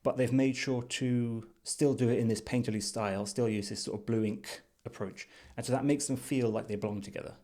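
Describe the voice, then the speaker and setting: A man giving unscripted screen-share commentary as a reviewer, thinking aloud in a measured, thoughtful way. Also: mid-range voice, fairly even intonation, warm tone. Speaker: design reviewer.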